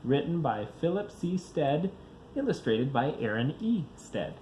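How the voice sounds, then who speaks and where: A man's voice: on the high side, very animated, a little slow, dark tone, slight background noise. A father reading a children's picture book aloud, expressively, varying his pace for young listeners.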